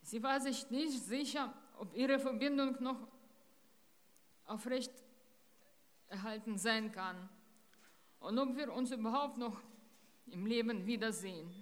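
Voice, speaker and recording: A middle-aged woman, from Ukraine, giving a grave, deliberate public speech at a rally in a town centre. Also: on the high side, in a large hall. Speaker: rally speaker.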